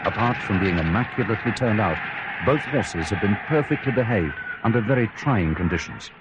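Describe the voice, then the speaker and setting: Low-voiced actor as male outside-broadcast radio reporter. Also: expressive, fairly quick, very noisy, lightly acted. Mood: composed, ceremonial. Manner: formal, descriptive. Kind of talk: live radio news commentary.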